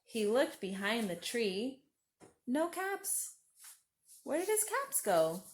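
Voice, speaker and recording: A woman's voice: fairly high pitch, very animated, takes it slowly. A woman storyteller reading a children's story aloud expressively, with friendly asides addressed directly to young listeners.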